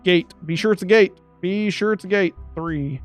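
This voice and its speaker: A man's voice: normal speed, soft background music. A man giving a step-by-step tutorial on a USB microphone, talking his way through each setting and correcting himself as he goes. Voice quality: describes his own voice as high-pitched. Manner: talks through steps aloud, corrects himself mid-sentence. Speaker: streamer explaining audio setup. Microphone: USB desk microphone.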